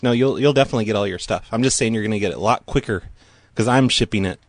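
A man's voice: on the low side, racing. A man making a prank phone call, playful and teasing, keeping up a mock-earnest act. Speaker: prank caller.

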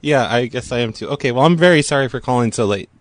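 A man's voice: medium pitch, fast talker, dry recording. A man making a prank phone call, playful and teasing, keeping up a mock-earnest act. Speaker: prank caller.

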